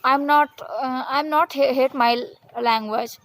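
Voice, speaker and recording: A woman, Hindi her native tongue, talks in a high, unhurried voice. Her English is halting and full of restarts, but she keeps repeating her encouragement with earnest conviction. A rooster crows somewhere behind her. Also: a bit bright, poor audio. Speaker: vlogger. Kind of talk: motivational monologue, practicing English.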